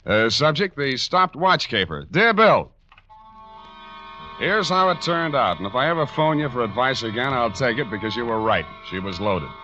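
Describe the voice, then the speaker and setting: An actor with an American accent playing a dry, wisecracking hard-boiled private detective in 1940s radio style. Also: male voice, medium pitch, big pitch swings, moderate pace, pushed and bright, soft background music. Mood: brisk, businesslike. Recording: muffled, noisy old radio recording.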